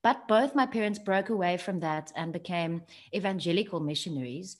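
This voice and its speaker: A woman from South Africa whose first language is Afrikaans, a guest telling her family story in a soft-spoken, reflective way with some halting restarts. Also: lowish voice. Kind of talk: personal storytelling.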